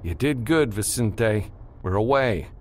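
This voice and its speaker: A man voicing a gruff, steady spaceship pilot with a terse, wry manner in an audiobook. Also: fairly low pitch, takes it slowly, a little hiss or hum, voice pushed up. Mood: relieved, calm. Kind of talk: audiobook character dialogue.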